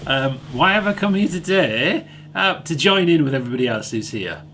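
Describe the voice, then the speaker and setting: A man's voice: fairly high pitch, expressive, normal speed, fairly bright tone, a little hiss or hum. Rambling Scottish man, restarting often. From Glasgow, Scotland. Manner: rambling, repeating himself. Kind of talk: casual interview answer.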